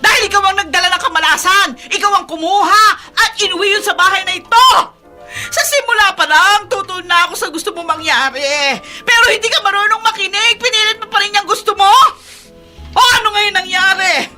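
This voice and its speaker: A bitter Filipino wife in a radio melodrama. Her voice is very high and piercingly forceful. She hurls blame in a shrill, accusing manner, over faint music. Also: a little fast, full character voice. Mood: furious, desperate. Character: bitter, furious wife who blames relatives for misfortune. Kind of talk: radio drama acting.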